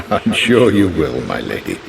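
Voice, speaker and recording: A courtly old British butler speaks fondly in a game. His gravelly voice is low and swoops widely, heard at a distance through noisy ambience. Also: wildly swooping pitch, unhurried, heavily acted. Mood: kindly, amused. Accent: British English. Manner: courtly, gently indulgent. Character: kindly, deferential old family butler.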